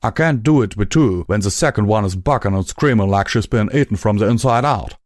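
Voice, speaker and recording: A man voicing a gruff, protective male pegasus with a folksy drawl, scolding a friend. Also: fairly low pitch, a little fast, darkish tone, no echo, studio quality, natural voice. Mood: angry.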